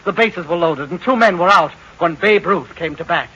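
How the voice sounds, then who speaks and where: Husky, high-voiced neighbor man. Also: normal speed, bright, forceful tone, heavily acted, rough recording. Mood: boastful. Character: lonesome, talkative family-man neighbor, self-important amateur coach. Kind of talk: 1940s-50s radio sitcom acting.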